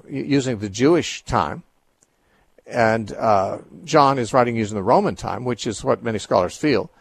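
A man's voice: medium pitch, no room sound. A man hosting a radio call-in show, answering Bible questions in a thoughtful, explanatory way with frequent restarts.

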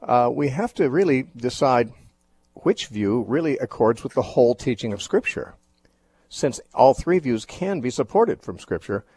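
A man hosts a radio call-in program, explaining scripture at an unhurried pace. His voice is somewhat husky, and he often pauses with fillers and restarts his sentences. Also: medium pitch, animated, darkish tone. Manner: thoughtful, measured, with frequent fillers and restarts. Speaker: radio call-in show host. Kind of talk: Bible teaching on a call-in show.

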